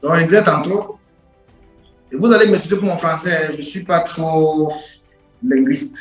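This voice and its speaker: A man's voice: fairly high pitch, normal speed. A man, an English speaker, giving an informal lecture in an emphatic, repetitive way with rhetorical questions. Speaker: online course instructor. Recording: roomy echo with music behind.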